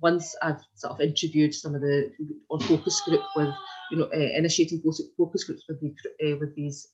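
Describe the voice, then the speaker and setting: Low-voiced Glaswegian woman on video call. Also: little pitch movement, fairly quick, a little mellow. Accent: Glaswegian Scottish. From Glasgow, Scotland. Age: 40s. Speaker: interviewee, community development worker. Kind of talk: informal oral history interview. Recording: muffled lo-fi video call audio.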